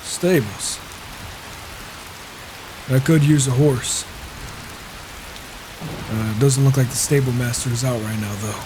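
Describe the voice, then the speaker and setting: Man playing a slow-talking, self-assured mercenary warrior. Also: medium pitch, natural voice. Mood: musing. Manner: measured, musing to himself. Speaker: roleplaying player. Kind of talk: in-character video game roleplay. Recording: hissy microphone with rain and thunder. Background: rain and thunder.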